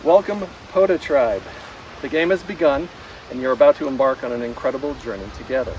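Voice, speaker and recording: A man's voice: mid-range voice, a little fast, darkish tone. A man reading a game welcome note aloud to a group of players. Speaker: reads game instructions to players. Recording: outdoors, distant mic, noisy.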